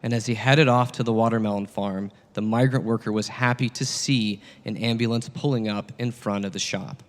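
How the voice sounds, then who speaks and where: A man playing a patient, earnest teacher of parables in a satirical dramatic reading, solemn and deliberate, with long echo around the voice. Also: fairly low pitch, steady tone, natural voice. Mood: solemn storytelling. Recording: long reverberant hall echo.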